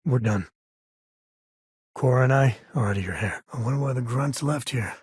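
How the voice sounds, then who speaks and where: A man voicing a rugged, wry, warm-hearted space ranger in a video game, with a folksy, conversational way of talking. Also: medium pitch, moderate pace, husky, no room sound, studio quality. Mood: easygoing. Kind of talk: video game character dialogue.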